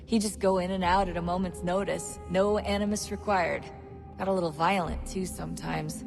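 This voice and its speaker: A woman's voice: lowish voice, steady tone, moderate pace, vocal fry, a little mellow, soft background music. An actress playing an easygoing, supportive young woman on a covert team in a video game, casual and friendly. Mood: sympathetic, reassuring. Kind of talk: video game cutscene acting.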